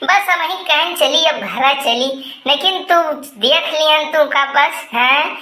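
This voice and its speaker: A woman acts out a pouty, gossipy village woman from an Awadhi cartoon comedy. Her voice is high and piercingly bright. She teases and grumbles in broad rustic dialect. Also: slow, echoey room, exaggerated voice. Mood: chirpy, teasing.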